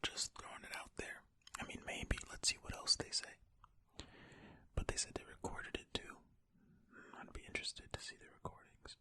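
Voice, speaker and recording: An ASMR host reading a story in a soft, breathy, unvoiced whisper, close to the mic, with conversational, musing asides. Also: moderate pace, no room sound. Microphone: close mic, ear to ear.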